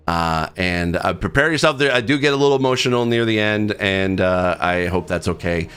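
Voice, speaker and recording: A man's voice: deep voice, normal speed, a bit bright, clear, resonant tone. A man hosting a gaming video, talking casually to viewers in an earnest, rambling, heartfelt way. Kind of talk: casual talk to camera.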